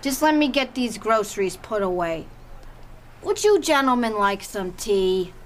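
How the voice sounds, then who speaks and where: A woman's voice: medium pitch, sing-song, unhurried, slight background noise. A woman playing a sweet, nosy older neighbor in an audio drama, polite, fussy and chatty.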